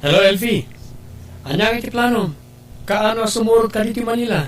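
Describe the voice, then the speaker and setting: A man's voice: high-pitched, brisk, slight background noise, lightly acted. A man acting in a radio drama as an eager, sweet-talking former boyfriend. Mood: eager, wooing.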